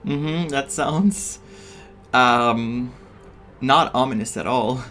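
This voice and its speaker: Slow-talking man doing game commentary. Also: medium pitch, pushed and bright. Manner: musing, halting reactions. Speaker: game streamer. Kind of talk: casual playthrough commentary. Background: game music.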